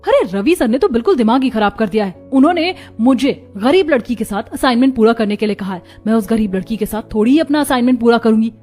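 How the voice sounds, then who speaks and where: Woman playing haughty, mocking college girl, high-pitched. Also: lively intonation, quick pace, few pauses, darkish tone, faint music behind. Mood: indignant disdain. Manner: mocking, disdainful. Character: haughty, spoiled, scornful rich college girl. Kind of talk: Hindi cartoon story dialogue.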